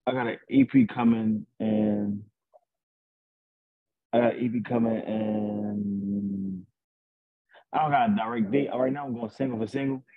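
A young man, a rapper, talking casually and boastfully in an interview over a video call, with a deep raspy voice. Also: fairly even intonation, unhurried, long pauses. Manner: laid-back, boastful, rambling. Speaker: rapper guest. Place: indoors at home. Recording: tinny video call audio.

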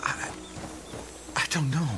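An actor playing a worried, bewildered young astronaut in a radio drama, with a hesitant manner. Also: male voice, slow pace, background noise, lightly acted. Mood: dazed, bewildered. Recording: loud classical music over the voice.

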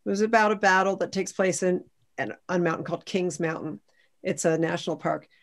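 A woman's voice: fairly low pitch, little pitch movement, fairly quick. A middle-aged American woman, a historian explaining things in a panel discussion on a video call. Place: indoors at home, video call.

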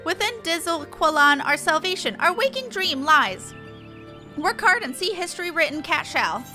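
A zealous bird-man played by a woman declaims in a high, bright voice. The delivery is earnest and proud, with odd inverted phrasing, like a quest giver in a fantasy game. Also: sing-song, normal speed, faint music behind, heavily acted. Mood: solemn, proud. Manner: inverted word order, declamatory, squawking.

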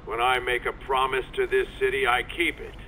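An actor playing a self-assured male mayor giving a measured, firm, oratorical political speech. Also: medium pitch, completely flat delivery, bright, forceful tone, slight background noise, lightly acted, poor audio. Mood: confident.

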